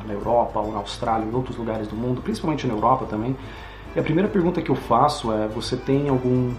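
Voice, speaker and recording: A Brazilian man hosts a casual interview, explaining points in a friendly, plain way. He is recorded in a roomy space with an echo, and faint music plays behind him. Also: mid-range voice. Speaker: host.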